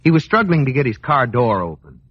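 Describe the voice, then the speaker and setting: An actor playing a jealous, insecure and boastful banker husband in an old radio comedy, hesitant and blustering. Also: male voice, mid-range voice, big pitch swings, brisk, breathy edge. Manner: hesitant, blustering, exaggerated reactions. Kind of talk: 1940s-50s radio comedy acting. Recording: muffled, hissy vintage radio recording.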